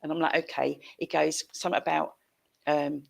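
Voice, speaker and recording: A middle-aged woman with a British accent hosts a casual interview over a headset. Her voice is slightly low and full of gravelly creak. She talks in an effusive, familiar way. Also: little pitch movement, normal speed. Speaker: show host. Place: room at home, indoors. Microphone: headset on a video call.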